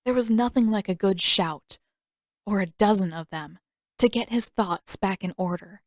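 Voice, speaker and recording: Woman reading a fantasy story out loud, medium pitch, really dry recording. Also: normal speed, dry recording. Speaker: audiobook narrator reading own fan fiction. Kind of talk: scripted story narration.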